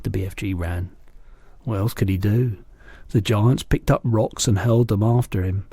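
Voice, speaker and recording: Teacher guy reading a story, calm n low, dry close sound. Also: normal speed, breathy edge, dry recording. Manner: calm, lowered narrating voice.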